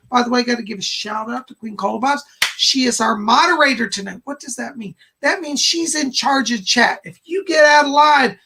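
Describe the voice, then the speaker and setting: A man with a hoarse, raspy voice, rough and gravelly, hosts a casual psychic livestream from his home studio. He gushes over each viewer with bursts of delight, sounding worn and tired. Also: very high voice, bright, forceful tone. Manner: effusive, gushing greetings with frequent squeals of delight. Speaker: psychic medium hosting a live stream.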